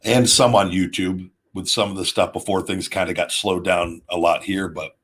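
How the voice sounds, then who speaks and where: A man's voice: low-pitched, fairly even intonation, fairly bright tone, slight background noise. A man in his late thirties hosting a casual, off-the-cuff talk show, rambling and often restarting his sentences. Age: 30s. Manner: rambling, halting, with repeated restarts. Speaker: show host, former pro wrestler. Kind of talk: casual off-the-cuff monologue answering viewers' questions.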